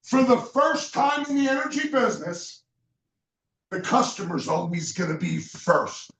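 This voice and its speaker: Loud high-voiced man speaking in an echoey room. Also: sing-song, measured pace. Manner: loud, emphatic, shouts for stress. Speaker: business leader speaking at a recruiting event. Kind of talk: motivational sales speech. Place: meeting room with an audience. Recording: echoey room, mic from far off.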